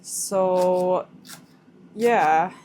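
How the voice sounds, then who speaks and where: Slow-talking young woman with Swedish accent. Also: fairly low pitch, darkish tone, a little hiss or hum. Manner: unhurried, rephrases herself often. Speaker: vlogger. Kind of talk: casual chat to camera. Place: home kitchen.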